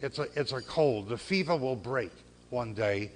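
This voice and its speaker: An older man giving a speech at a gala, earnest and measured, with pauses and repeated phrases. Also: mid-range voice, lively intonation, slight background noise. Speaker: civil rights lawyer giving a gala speech. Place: banquet hall.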